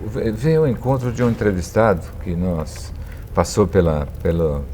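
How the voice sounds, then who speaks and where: A man's voice: sits low, animated, moderate pace, dark tone, slight background noise. A man with a Brazilian accent hosting a conversational interview. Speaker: interviewer, host.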